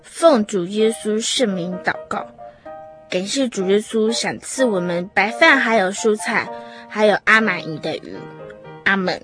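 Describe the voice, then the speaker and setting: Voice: medium pitch, unhurried, bright, forceful tone, soft background music. A performer playing a sweet, dutiful small child in a radio drama, earnest and childlike.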